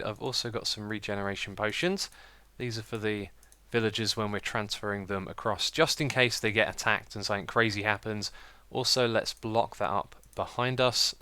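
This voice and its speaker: A British man giving casual, chatty gameplay commentary as a let's play host, with a slightly croaky voice and a self-mocking manner. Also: on the low side, pushed and bright, no echo. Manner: chatty, self-mocking, laughs at own slips.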